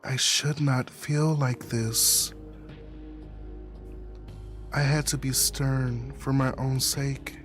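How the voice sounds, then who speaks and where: A man reading a visual novel aloud as first-person narration, in an earnest, measured, dramatic way. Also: mid-range voice, steady tone. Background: game music under the voice.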